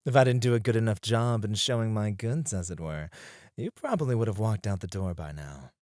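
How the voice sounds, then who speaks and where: A man playing a suave, flirtatious club escort in an intimate ASMR roleplay, teasing and breathy, close to the mic. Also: fairly low pitch, animated, darkish tone, dry recording, lightly acted. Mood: flirty, teasing. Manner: slow, teasing, seductive, often dropping to a breathy murmur. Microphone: close studio microphone, dry.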